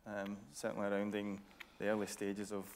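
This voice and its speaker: Gravelly-voiced man moderating. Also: lowish voice, completely flat delivery, fairly quick, darkish tone, a little hiss or hum. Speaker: presenter, moderator. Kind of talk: moderating a panel discussion. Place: theatre stage before an audience.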